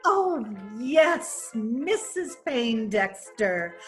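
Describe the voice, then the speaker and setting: Very slow, measured woman playing an aloof society widow, politely distant. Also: fairly high pitch, sing-song, soft background music, exaggerated voice. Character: cool society widow Mrs. Courtney Page. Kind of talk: readers theatre reading.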